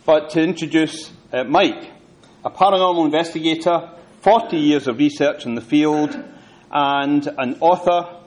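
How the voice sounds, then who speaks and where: A man's voice: fairly high pitch, unhurried. A man hosting a talk, introducing the guest speakers to the audience with a hesitant delivery. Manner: hesitant, many fillers and restarts. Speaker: event host introducing speakers.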